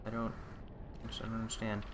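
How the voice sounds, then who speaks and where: A man's voice: on the low side, monotone, a little fast, slight background noise. A man playing through a game and commenting on it, exasperated and swearing at enemies, talking to them as he fights. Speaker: let's play commentator. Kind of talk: gameplay commentary. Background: game music and sound effects.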